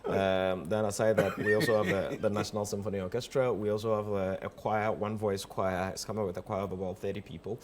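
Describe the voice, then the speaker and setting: A man's voice: on the low side, little pitch movement, quick pace, hardly any pauses, a crowd in the background. A man with a Ghanaian accent speaking as a guest in a casual TV interview, repeating phrases for emphasis. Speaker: interview guest, theatre producer. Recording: clear background noise.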